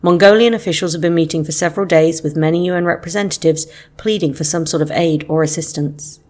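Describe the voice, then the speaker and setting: A woman's voice: deep voice, fast talker, a little mellow. A woman playing a serious radio foreign correspondent, reading a factual scripted news report.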